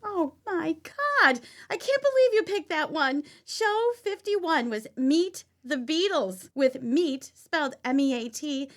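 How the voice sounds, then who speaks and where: A woman acts out an obsessive female superfan in a stage audio play. Her voice sits fairly high and swoops widely, effusive and breathless, with a flirtatious streak. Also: expressive, slow pace, hardly any pauses. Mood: excited, adoring. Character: gushing, obsessive superfan woman of a cooking show.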